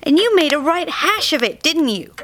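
Woman playing stern female bunny, scolding, exasperated, highish animated voice, storybook reading, faint noise. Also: moderate pace.

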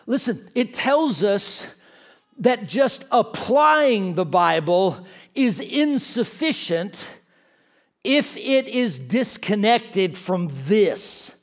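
Guest preacher, male, fairly high voice, emphatic, often restarts words. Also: sing-song. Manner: swings from quiet and slow to loud and fast. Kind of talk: sermon.

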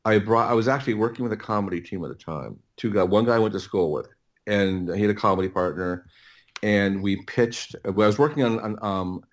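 A middle-aged man with a fairly low voice chats as an interview guest. He tells his story in a casual, rambling way, doubling back on words while he gathers his thoughts. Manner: halting, rambling, repeats words as he thinks. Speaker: podcast guest, audio producer.

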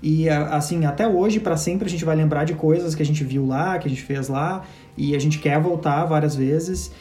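A man's voice: on the high side, normal speed, dark tone. A man, co-hosting a podcast and speaking reflectively in long stretches. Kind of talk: casual conversational chat. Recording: echoey room with faint music.

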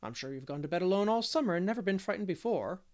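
A woman's voice: fairly low pitch, animated, quick pace, a little mellow, pitched above the performer's own voice, close to an everyday voice. A woman voicing a stern, practical older woman who speaks brusquely in an audiobook. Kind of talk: audiobook character voice.

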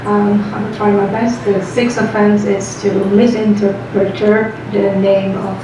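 A woman's voice: medium pitch, little pitch movement, a little slow. A woman whose native language is Dutch, reciting a teaching from memory in class, hesitant and apologetic. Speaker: class participant. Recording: echoey hall, distant mic, noisy background.

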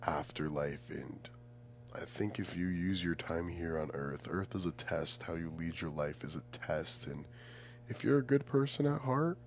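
A man's voice: low-pitched, expressive, a little slow, creaky, a little hiss or hum. A young man chatting casually and reflectively as a podcast guest, earnest and emphatic, often searching for the right words.

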